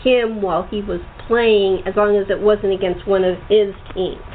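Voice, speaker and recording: A woman's voice: mid-range voice, steady tone, moderate pace. A woman hosting a casual chat, laughing often and restarting her sentences. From United States. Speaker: host. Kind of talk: casual chat over a call. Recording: tinny phone-like line, faint noise.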